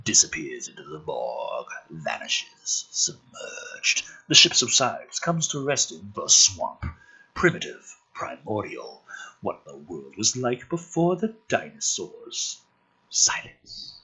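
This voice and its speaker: A man performs a dramatic script reading with sarcastic commentary. His lowish voice is husky yet forceful, and his emphasis is theatrical. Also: huge pitch swings, takes it slowly, low-quality recording. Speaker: host reading a film script aloud.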